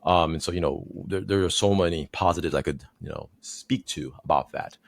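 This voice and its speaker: A man from Haiti hosting a podcast interview, asking measured, thoughtful questions with many fillers. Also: extremely low pitch, creaky, no room sound, clean studio sound. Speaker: podcast host. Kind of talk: conversational interview.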